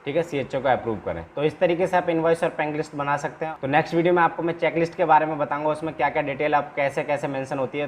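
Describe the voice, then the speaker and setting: Indian man explaining steps over background noise. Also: a little high. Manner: repetitive, with frequent check-in tags. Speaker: export-import trainer presenting a tutorial. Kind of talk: informal step-by-step tutorial explanation.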